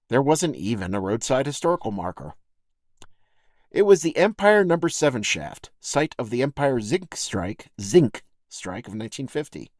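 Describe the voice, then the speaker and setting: A man with a slightly low-pitched voice narrates a first-person essay for a podcast. He tells it in a reflective, folksy manner. The sound is dry, with very little room in it. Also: darkish tone, no room sound. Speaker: podcast host and essayist reading own essay.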